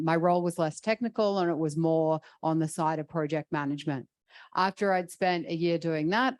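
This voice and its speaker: A woman in her forties with an Australian accent, a professor on a panel, speaking in a measured, thoughtful way with frequent ums. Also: deep voice, darkish tone, no room sound, studio quality. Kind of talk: panel discussion answer.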